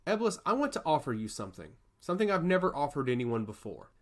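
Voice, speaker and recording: A man's voice: on the high side, sing-song, fast talker. Man voicing a solemn, earnest goddess of law. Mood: earnest, grave. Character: solemn, curious goddess of law, weary judge of souls.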